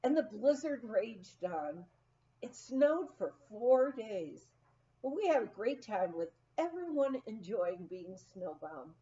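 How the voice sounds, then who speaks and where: A woman narrates a light memoir with lively, swooping intonation and a fairly high pitch. She takes her time like a storyteller reading an audiobook. The recording is slightly dull. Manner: expressive, unhurried storytelling with acted quotes. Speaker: audiobook reader. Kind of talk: scripted narration of a humorous memoir.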